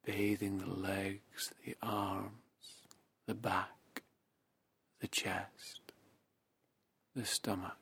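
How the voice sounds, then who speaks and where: A man guiding a hypnosis session, speaking softly and slowly in a half-whispered, soothing delivery. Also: on the low side, gravelly, slightly rough. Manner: soft, slow, half-whispered. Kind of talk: guided hypnosis narration.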